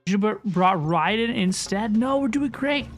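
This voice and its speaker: A woman's voice: mid-range voice, expressive, a little slow. A woman hosting a gaming livestream, chatting casually and often repeating phrases for emphasis. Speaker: livestream host. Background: game music.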